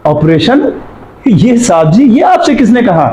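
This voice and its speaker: A man's voice: high-pitched, big pitch swings, warm tone. A man acting as an honest, respectful household servant in an amateur moral skit. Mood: astonished. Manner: earnest, deferential. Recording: dull lo-fi sound, faint noise.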